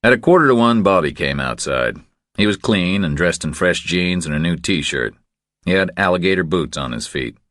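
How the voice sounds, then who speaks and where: A man reading scripted thriller fiction as an audiobook narrator, in a measured, deliberate storytelling manner. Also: low voice, lively intonation, fairly bright tone, no room sound, studio quality.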